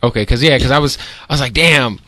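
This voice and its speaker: A man hosting an interview in a casual chat, with halting questions full of fillers. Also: mid-range voice, bright, forceful tone, no echo. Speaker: interview host.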